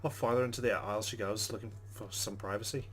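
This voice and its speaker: A man's voice: fairly low pitch, steady tone, fast, a bit bright, slightly rough. A man hosting a game playthrough, reading the story text aloud with casual asides. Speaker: let's play host.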